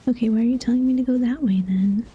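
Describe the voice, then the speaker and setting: A woman's voice: a little high, quick pace, phone-quality sound, slight background noise. A sleepy-sounding woman chatting casually on a game stream, rambling and thinking aloud. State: sleepy, yawning. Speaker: live streamer.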